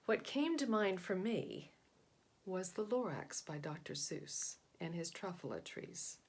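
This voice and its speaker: A woman's voice: on the low side, animated, measured pace, slightly rough. A middle-aged woman presenting a read-aloud, talking informally and explaining things to young listeners. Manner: explanatory, asks listeners questions. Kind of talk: informal children's book talk to young listeners.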